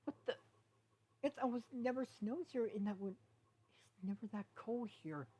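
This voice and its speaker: A woman's voice: fairly high pitch, moderate pace, mellow, husky, pitched above the performer's own voice. Male pony sheriff read by a woman, young, weary and exasperated, raised voice, storybook style. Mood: astonished.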